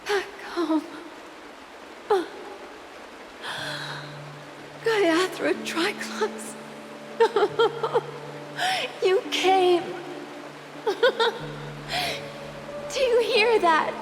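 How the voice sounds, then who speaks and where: A woman's voice: very high-pitched, noisy background, exaggerated voice. An actress playing a grieving, devout desert mother in a game cutscene, speaking solemnly and tenderly. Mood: tearful, tender gratitude. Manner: slow, solemn, tender delivery. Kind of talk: dramatic game cutscene acting. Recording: long reverb, music behind.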